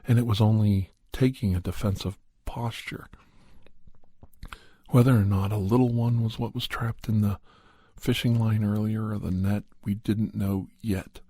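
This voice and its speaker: A man narrating a first-person horror story in an ASMR style, hushed and deliberate, often pausing mid-phrase. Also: fairly low pitch, breathy edge, dry recording. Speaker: podcast host and storyteller.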